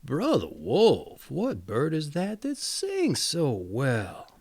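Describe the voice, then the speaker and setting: A man's voice: on the high side, wildly swooping pitch, takes it slowly, vocal fry, darkish tone, husky, no echo, full character voice. A man reading the lines of a curious, pompous bear in a fairy tale. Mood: haughty. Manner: demanding and scornful.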